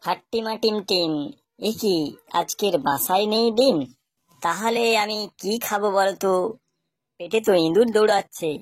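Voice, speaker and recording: A woman's voice: mid-range voice, normal speed, pauses often, no echo, close to an everyday voice. A woman voicing a mischievous, greedy fox with a sing-song manner in a children's cartoon. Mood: dismayed, hungry. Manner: sing-song, chanting nursery rhymes. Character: mischievous egg-stealing fox, gleeful, greedy rhymer. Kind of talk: children's cartoon character acting.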